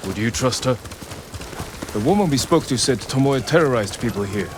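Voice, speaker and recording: An actor playing a grim, resolute samurai warrior in a restrained video game drama. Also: male voice, mid-range voice, animated, moderate pace, noisy background, lightly acted. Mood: skeptical.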